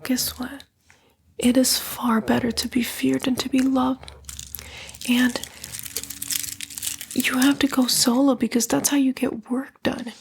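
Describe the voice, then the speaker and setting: Sly fallen-angel demon played by a woman, breathy ASMR whisper, higher register, casually coaxing and taunting, faint background noise. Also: a little mellow. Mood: cynical, goading. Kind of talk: ASMR roleplay.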